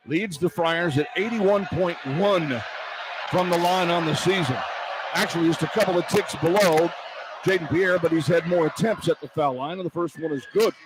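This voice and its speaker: A man's voice: a little high, moderate pace. A man doing live radio basketball play-by-play in clipped running phrases that build with the action. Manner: clipped running phrases, voice rising to shouts on big plays. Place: courtside in a college basketball arena. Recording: live arena broadcast with background noise. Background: arena ambience, faint noise.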